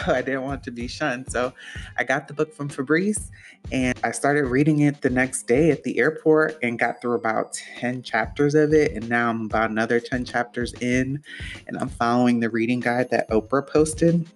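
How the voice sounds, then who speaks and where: A young man hosting his own podcast, talking casually with lots of fillers and restarts in a soothing voice. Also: medium pitch. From Puerto Rico. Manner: rambling, chatty, many fillers and restarts. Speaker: podcast host. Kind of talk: casual solo podcast talk.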